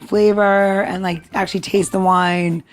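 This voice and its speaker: A woman co-hosts a podcast in a loose, casual, joking way. Her pitch is middling for a woman, and faint music plays behind her. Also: flat delivery, unhurried. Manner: informal, jokey. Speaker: podcast co-host and bartender. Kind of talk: casual chat.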